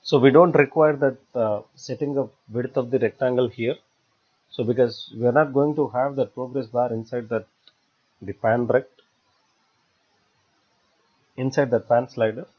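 A man giving a step-by-step software tutorial in a halting, repetitive way. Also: mid-range voice, steady tone. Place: voice-over for screen recording. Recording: dull, lo-fi screencast audio.

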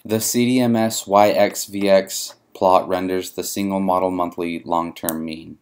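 Low-voiced man narrating a tutorial. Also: fairly even intonation, unhurried, a little mellow, clean tone. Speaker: software demonstrator. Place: voice-over for a screen recording.

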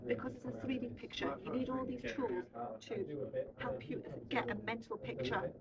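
A woman's voice: a little high, fairly even intonation, normal speed, muffled recording, over a noisy crowd. A young woman giving an informal explanatory talk to a group, often checking that they follow with tag questions. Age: young adult. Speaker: air traffic controller giving a tour. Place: indoor radar control room. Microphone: handheld camera a short distance away. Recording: distant mic, loud room noise.

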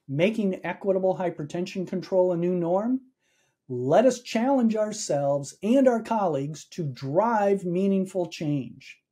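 Man with a dark, husky voice, reading formal welcome. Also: sits high. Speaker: executive director and meeting host. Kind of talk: scripted welcome remarks.

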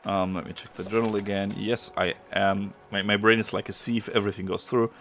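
A man with a German accent, a non-native English speaker, giving chatty let's-play commentary and sometimes pausing to search for a word. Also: fairly low pitch, little pitch movement, a bit bright. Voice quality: gravelly. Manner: chatty, pauses to search for words. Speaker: gameplay streamer.

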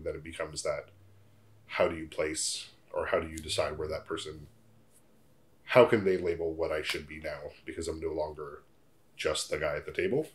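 An American-sounding man in his mid-thirties reflecting on his own experiences in an interview. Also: very deep voice. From Portugal and United States. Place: indoor living room, seated in armchair.

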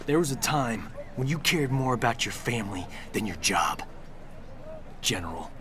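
An actor playing a wisecracking middle-aged action hero in a video game, with a dry, teasing manner. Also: male voice, mid-range voice, lively intonation, normal speed, a crowd in the background, natural voice. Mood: bitter, hurt. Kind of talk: cinematic game dialogue. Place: studio recording with music behind.

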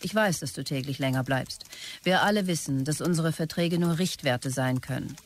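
Woman acting a brisk, bossy company boss. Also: sits low, a little hiss or hum, lightly acted. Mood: insistent, demanding. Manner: brisk, commanding, clipped. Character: bossy, demanding company boss issuing curt orders to staff. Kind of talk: radio drama acting.

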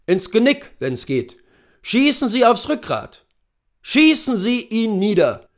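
A man reading a story, voicing a gruff, seasoned big-game hunter who talks in terse commands. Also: high voice, big pitch swings, slow, pitched above the performer's own voice, full character voice. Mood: instructing.

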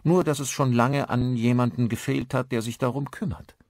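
A man's voice: mid-range voice, fairly even intonation, normal speed, a little mellow, no room sound, clean studio sound, voice pushed up, close to an everyday voice. A man voicing a friendly, married village pastor in an audiobook, courteous and talkative. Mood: affable.

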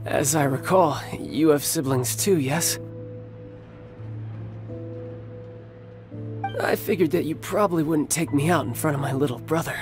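A man's voice: on the high side, fairly quick, close to an everyday voice. A man playing a cocky young warrior, music behind. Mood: wry, weary. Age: young adult. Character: cocky, devoted young warrior and big brother. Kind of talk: video game voice acting. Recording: background music over studio voice. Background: loud game music.

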